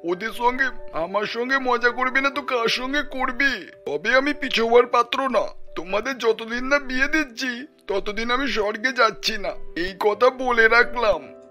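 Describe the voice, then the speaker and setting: Doting old patriarch played by a man, cartoon style, piercingly high and sharp, opinionated. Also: normal speed, soft background music, full character voice. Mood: jovial. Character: jovial, opinionated elderly grandfather, doting family patriarch. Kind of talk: cartoon character voice.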